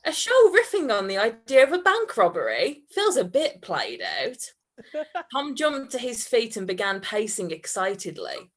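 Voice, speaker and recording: A woman's voice: a little high, wildly swooping pitch, measured pace. A woman giving a live reading of comic fiction, giggly and prone to breaking into laughter. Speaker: reader at a comedy event.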